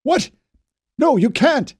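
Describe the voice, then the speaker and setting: A man's voice: very high voice, animated, slow, dry recording, studio quality, voice pushed up, heavily acted. A man voicing a panicked male scientist who pleads urgently and desperately.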